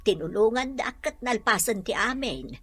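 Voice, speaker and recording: A woman playing a caring mother who gently advises her grown daughter in a radio drama. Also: a little high, animated, normal speed, husky, no room sound. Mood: concerned, advising. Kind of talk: Ilocano radio drama acting.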